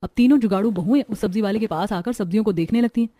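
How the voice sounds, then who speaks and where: A woman's voice: mid-range voice, racing, dark tone, no echo. A woman narrator reading a scripted cartoon story. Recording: music behind the voice.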